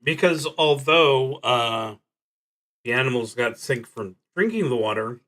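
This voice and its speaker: A man streaming a solo tabletop roleplaying game, thinking aloud in a halting, deliberate way that trails off between thoughts. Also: mid-range voice, lively intonation, a bit bright.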